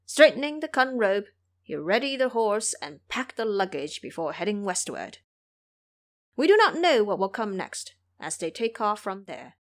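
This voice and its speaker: A woman reads as the narrator of an audio drama. She tells a scripted fantasy story in a storyteller's style, with a medium-pitched voice. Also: sing-song, normal speed.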